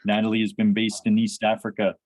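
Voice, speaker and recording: A man hosts a conversation in a lowish voice with a close, dry sound. He is halting and often starts his phrases over. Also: monotone, a little mellow, no room sound. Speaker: podcast host. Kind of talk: conversational interview.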